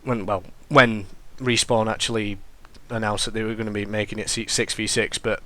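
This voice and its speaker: Sniffly young gaming commentator. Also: male voice, fairly low pitch, normal speed. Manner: rambling, self-correcting, excitable reactions. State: congested, sniffly. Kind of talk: casual gameplay commentary.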